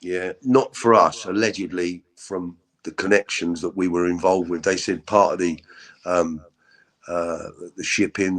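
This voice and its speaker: A middle-aged man with a deep voice, telling his story as an interview guest in a halting, candid way with many repeats. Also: a bit bright. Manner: halting, repeats words, frequent ums.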